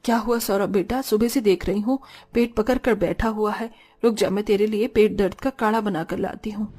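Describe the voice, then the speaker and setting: A woman's voice: mid-range voice, steady tone, moderate pace, dark tone, close to an everyday voice. A woman voicing a caring but firm, scolding middle-aged mother-in-law in a cartoon family drama. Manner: reproachful, motherly.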